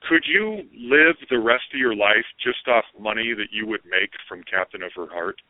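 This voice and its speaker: A man's voice: on the low side, animated, moderate pace, no room sound. A man hosting a casual podcast interview, affirming his guest and asking roundabout, informal questions. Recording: narrow phone-like band, dry.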